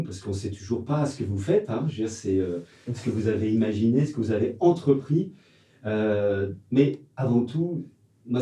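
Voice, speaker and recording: A man's voice: mid-range voice, mellow. Playful male host, roomy distant sound. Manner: playful, drawn-out, joking questions. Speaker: interview show host. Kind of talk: casual interview hosting. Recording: roomy and distant off-camera mic.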